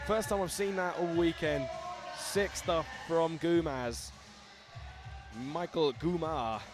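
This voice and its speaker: Young male commentator, slightly high voice, hyped and giggly, music thumping underneath. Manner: excitable, laughing, shouting out to viewers. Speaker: live commentator and host. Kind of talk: live event commentary.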